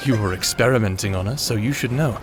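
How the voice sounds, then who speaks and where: An actor playing a vengeful, sadistic necromancer in an audio drama, taunting and gloating in a theatrical way. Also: male voice, lowish voice, fast talker, over music, natural voice. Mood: quiet menace. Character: vengeful male necromancer, manic, gleefully sadistic escaped prisoner. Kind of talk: dramatic audio roleplay acting.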